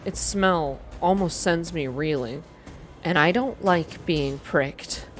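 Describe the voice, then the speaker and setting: A woman reading first-person story narration aloud in a soft, hushed, measured ASMR style. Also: low-pitched, faint music behind. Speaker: narrator reading a visual novel game aloud.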